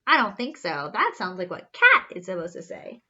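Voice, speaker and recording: A woman's voice: medium pitch, huge pitch swings, normal speed, pushed and bright, highs cut off. A woman reading a storybook aloud to children in a theatrical way, asking questions and reacting with wonder. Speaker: story reader, children's teacher-like host.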